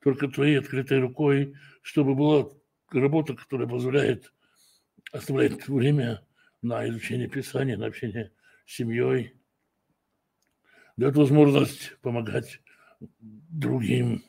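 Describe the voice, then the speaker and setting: Gravelly-voiced man giving a slow sermon. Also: mid-range voice, steady tone, mellow. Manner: slow, measured delivery with frequent hesitations and self-corrections. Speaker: preacher and Bible teacher. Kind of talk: prayer, then a Torah study lesson.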